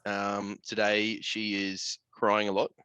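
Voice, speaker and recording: A man's voice: fairly low pitch, monotone, slow, bright, forceful tone, smooth, dry recording. An Australian man chatting casually as a podcast host, blokey and sweary, full of slang.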